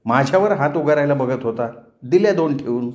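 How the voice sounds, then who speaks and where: A man voicing a fearless, sharp-tongued young village girl with an indignant, assertive manner in a story. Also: mid-range voice, expressive, fairly quick, echoey room, muffled recording, close to an everyday voice. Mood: defiant, matter-of-fact.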